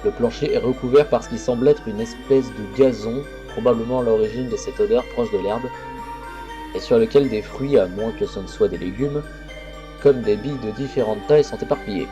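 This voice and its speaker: A man's voice: mid-range voice, moderate pace, music in the background, close to an everyday voice. A man reading as a troubled young protagonist. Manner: plain reading of game text. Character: young male protagonist, troubled inner narration.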